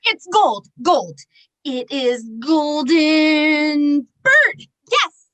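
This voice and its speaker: A woman acting an excitable, eager player of a guessing game in an amateur play reading. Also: high voice, drawn-out words, dry recording, exaggerated voice. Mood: excited guessing. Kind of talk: amateur play reading over video call.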